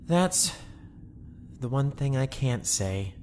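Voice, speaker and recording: Low-voiced weary male administrator, acted by a woman. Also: animated, a little mellow, slight background noise, exaggerated voice. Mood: weary, conflicted. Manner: halting, earnest. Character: weary male ship administrator, conflicted, apologetic bureaucrat. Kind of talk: sci-fi audio drama acting.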